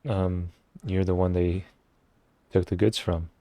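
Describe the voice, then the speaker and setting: Low, mellow man streaming a game. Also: little pitch movement, takes it slowly, no echo. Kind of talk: casual live game playthrough, reading dialogue choices aloud.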